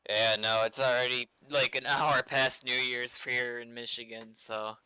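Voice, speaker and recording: A man's voice: mid-range voice, level tone, normal speed, bright, forceful tone, smooth, dry recording, rough recording. An American man chatting casually on a livestream, thinking aloud and muttering to himself as he works, with informal speech and mild swearing. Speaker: game developer livestreaming.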